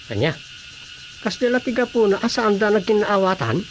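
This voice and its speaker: A man's voice: high-pitched, big pitch swings, moderate pace, a little hiss or hum. A man playing an easygoing, daydreaming husband in a Filipino radio drama comedy, muttering with a Filipino accent. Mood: casual, unbothered.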